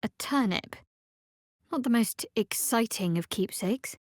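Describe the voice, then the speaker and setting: A close, dry studio sound carries a young female cleric of a dark goddess, in a fantasy video game. Her voice sits fairly high. She is guarded and sardonic, with cool, wry, clipped delivery. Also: expressive, measured pace, no room sound.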